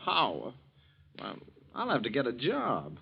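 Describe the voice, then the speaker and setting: An actor in a 1940s radio drama playing a hot-tempered, evasive young husband, agitated and defensive, on a muffled vintage recording. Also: male voice, mid-range voice, wildly swooping pitch, gravelly, slightly rough, exaggerated voice. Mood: sullen. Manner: agitated, defensive, quick to snap.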